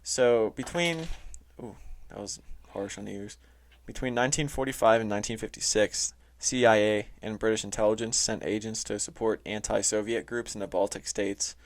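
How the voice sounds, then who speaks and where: Male podcast host, lowish voice, informal explainer delivery, stop-and-start with lots of "like". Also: steady tone. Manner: informal, halting, heavy use of "like". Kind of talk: casual explainer talk reading from notes. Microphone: close, touchy microphone.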